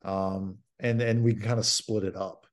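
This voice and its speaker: A man's voice: fairly low pitch, steady tone, moderate pace. A man in his thirties, a basketball coach, explaining a practice drill plainly over a video call. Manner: plain, explanatory, many fillers. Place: home office indoors. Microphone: video call webcam.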